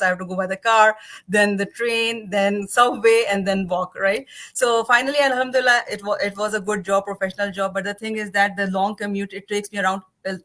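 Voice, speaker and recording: A woman in her forties from Pakistan, speaking at a middle pitch. She talks over a video call as a panel guest. Her speech is upbeat and casual, with frequent restarts. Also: fairly bright tone. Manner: chatty, many fillers and restarts, upbeat. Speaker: panel guest, physician and clinical researcher. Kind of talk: casual webinar panel talk.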